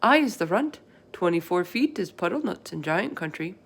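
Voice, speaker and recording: Storybook friendly giant, played by a woman: earnest, excitable, emphatic, tumbling through garbled folksy speech. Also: fairly low pitch, normal speed, gravelly, husky, heavily acted. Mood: excited, indignant. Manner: emphatic, garbled folksy grammar with made-up words.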